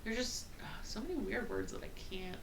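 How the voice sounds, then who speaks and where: A woman's voice: medium pitch, animated, moderate pace, vocal fry, fairly bright tone, slight background noise. A woman from the northern US with a slight Boston accent on some words, chatting and telling stories while gaming on a live stream. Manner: chatty, storytelling, laughs easily. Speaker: stream co-host. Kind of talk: casual chat while gaming.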